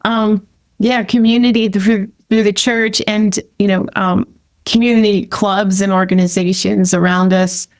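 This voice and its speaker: A woman's voice: medium pitch, fairly even intonation, a little slow. Affirming woman podcast host. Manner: enthusiastic, affirming. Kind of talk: casual conversational interview.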